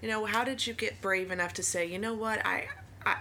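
A woman's voice: mid-range voice, fairly even intonation, fairly quick, vocal fry, slight background noise. A woman therapist hosting a podcast interview, affirming her guest and asking probing questions. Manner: warm-voiced affirming listener, many mm-hmms, probing questions. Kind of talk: conversational interview.

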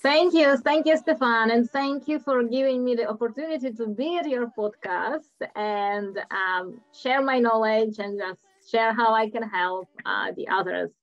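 A woman's voice: on the high side, unhurried, few pauses, dry recording, faint music behind. A middle-aged woman with a Czech accent, a coach talking earnestly as a podcast guest and sometimes searching for words. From Czech Republic. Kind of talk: podcast interview. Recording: dull lo-fi audio.

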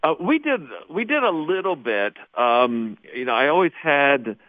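A man, a high school theater teacher, chatting casually as a radio guest in an easygoing, rambling way. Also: big pitch swings, unhurried, a little hiss or hum. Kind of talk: casual radio interview chat. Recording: dry, narrow phone-like line.

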